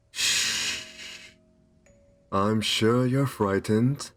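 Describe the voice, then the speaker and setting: A man playing a courtly, soothing vampire in an ASMR roleplay, speaking softly and genteelly with a possessive kindness. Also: low-pitched. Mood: soothing, caring. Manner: soft, soothing, genteel, unhurried.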